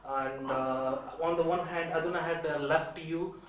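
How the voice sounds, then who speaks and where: A man hosting a parody talk show, teasing his guest with mock-formal questions in a somewhat high voice. He sounds distant from the mic, in a very echoey room with faint ambience behind him. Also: steady tone, unhurried, a little hiss or hum. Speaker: comic talk-show host. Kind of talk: comic parody interview.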